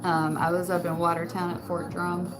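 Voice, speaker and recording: A soft-spoken woman with a rural Western American accent, chatting casually with her viewers and laughing often. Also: on the low side, monotone, moderate pace, rough recording. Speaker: channel host. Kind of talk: casual live chat answering comments. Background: background music.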